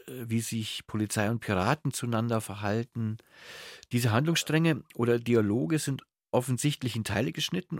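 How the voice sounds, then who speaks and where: A man hosting a cultural radio interview, phrasing his questions carefully and deliberately. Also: mid-range voice, gravelly, no echo, studio quality. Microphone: close, dry studio microphone.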